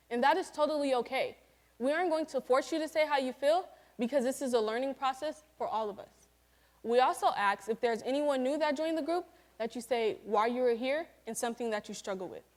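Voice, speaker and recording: An eighteen-year-old young woman giving a senior presentation and role-playing a group facilitator, speaking earnestly and instructively to a group. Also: high voice. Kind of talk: student presentation with a scripted role-play.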